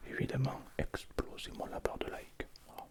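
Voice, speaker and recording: A man hosting an ASMR tasting in a casual, hushed, low voice. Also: normal speed. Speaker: ASMR video host. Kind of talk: whispered ASMR food tasting.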